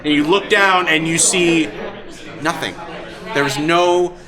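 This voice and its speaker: A man acting as game master at a live tabletop game, explaining rules and narrating in a halting, informal, encouraging way. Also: a little high. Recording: noisy live convention stream, loud crowd behind. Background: crowd noise.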